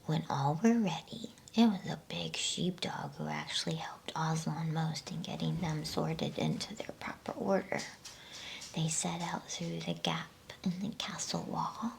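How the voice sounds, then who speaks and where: A woman softly whispering an ASMR bedtime story, reading in a slow, soothing manner with pauses between phrases. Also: low-pitched, creaky.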